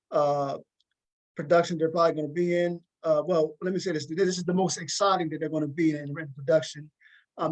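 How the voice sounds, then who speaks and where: A man's voice: a little high, rough recording. A man hosting a casual interview, often doubling up words and phrases as he feels his way through questions. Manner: hesitant, repeats words and phrases. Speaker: talk show host interviewing a play's cast.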